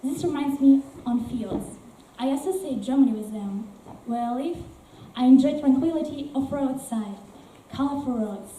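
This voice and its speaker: A woman's voice: sits high, moderate pace, dark tone, natural voice. A woman playing a newcomer from Russia who talks eagerly about her new home in an amateur stage performance. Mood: enthusiastic. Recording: echoey room from far off, faint noise.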